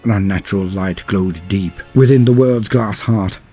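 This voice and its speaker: A man with a low, rough-edged voice delivers dramatic scripted narration. His phrasing is measured and theatrical, stretching key words for emphasis. Soft music plays behind him. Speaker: lore narrator. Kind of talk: dramatic scripted narration of war fantasy lore.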